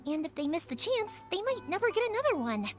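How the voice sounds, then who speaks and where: A woman's voice: very high-pitched, very animated, fast. A woman voicing a cheerful, talkative young fireworks maker in a game dub, eager to help everyone. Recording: game audio with music behind.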